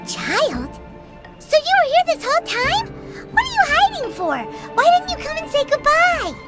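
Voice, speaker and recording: A tiny, cheeky fairy sidekick in a video game dub. The voice is extremely high, squeaky and childlike, swinging excitably up and down. Music plays prominently underneath. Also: female voice, moderate pace, full character voice. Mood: cheeky, scolding. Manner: childlike, refers to herself by name. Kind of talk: English game dub acting.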